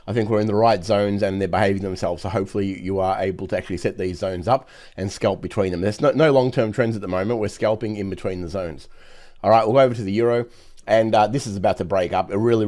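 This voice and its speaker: A hoarse man who has nearly lost his voice, giving brisk trading chart commentary with many fillers. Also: fairly low pitch, fairly even intonation, darkish tone. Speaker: market analyst host.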